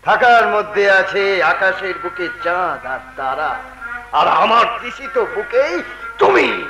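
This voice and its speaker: An actor playing a leering hired kidnapper, a bullying coward, in folk-theatre style with a taunting, theatrical delivery. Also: male voice, sits high, very animated, slow pace, creaky, full character voice. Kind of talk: Bengali jatra folk-theatre acting. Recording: echoey stage recording with music.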